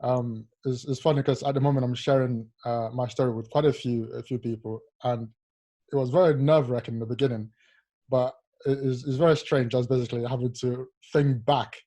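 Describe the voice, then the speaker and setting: A young man from Nigeria, a rower, telling his life story in an interview in a soft, halting way with many fillers. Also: medium pitch, fairly even intonation. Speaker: Paralympic rower, guest. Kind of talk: casual interview, personal story.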